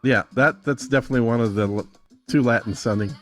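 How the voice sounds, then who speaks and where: A man's voice: mid-range voice, fast talker, faint music behind. A man giving a casual, conversational tutorial as a guest, thinking aloud in an easygoing way. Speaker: guest demonstrating an AI music tool.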